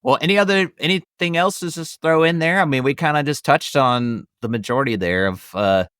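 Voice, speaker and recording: A man's voice: a little high, a little fast, fairly bright tone, clear, resonant tone, no echo, studio quality. A man hosting a movie review podcast in casual conversation, often hedging and circling back over his words. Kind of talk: casual film review chat.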